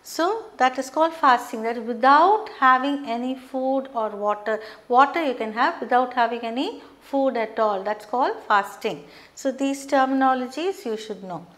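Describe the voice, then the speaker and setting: A middle-aged woman teaching a beginners' lesson at a whiteboard, explaining terms slowly and checking in with frequent "okay". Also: high-pitched, animated, a bit bright. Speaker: English teacher. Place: studio classroom with whiteboard.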